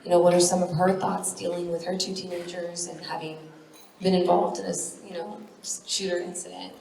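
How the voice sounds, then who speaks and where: A woman with a lowish voice moderates a live panel, polite and a little hesitant. She sounds distant in a reverberant hall. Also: flat delivery, moderate pace, gravelly, darkish tone. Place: large event hall. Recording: echoey hall, distant mic.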